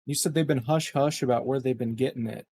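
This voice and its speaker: Male tabletop player, mid-pitched mellow voice, casual improvised delivery with a hesitant feel. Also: fairly quick, breathy edge. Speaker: player in a tabletop roleplaying game. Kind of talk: casual in-character and table talk.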